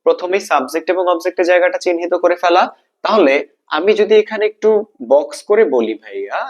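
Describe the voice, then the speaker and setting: Higher-pitched Bangladeshi male grammar teacher. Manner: repeats points and asks himself questions. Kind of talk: explanatory exam-prep lesson, step by step.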